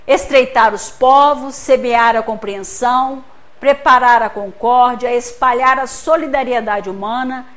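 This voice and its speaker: A woman's voice: a little high, measured pace, fairly bright tone. A Brazilian woman giving a lecture at a spiritist center, explaining patiently with homely examples and often checking in with the audience.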